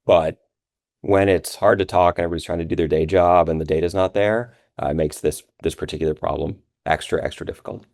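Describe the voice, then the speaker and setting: A man's voice: low-pitched, fairly even intonation, fast talker, gravelly, darkish tone, dry recording, clean studio sound. A man answering questions as a guest in a business interview, explaining things conversationally with frequent filler phrases. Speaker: interview guest, revenue operations executive.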